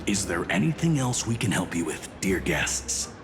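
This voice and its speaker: A man voicing a proud, loyal warrior in a video game, formal and quick to challenge. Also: low-pitched, very animated. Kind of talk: anime-style video game voice acting. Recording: loud music behind.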